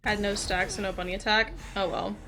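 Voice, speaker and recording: A woman's voice: mid-range voice, level tone, a little fast, poor audio. A woman live streaming a game, chatting casually and playfully, with faint music behind her.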